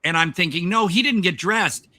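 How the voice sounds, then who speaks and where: A man's voice: sits high, moderate pace, pushed and bright, dry recording. A man hosting a casual film podcast, enthusiastic about the movie but hesitant and full of fillers as he builds his points. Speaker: podcast host.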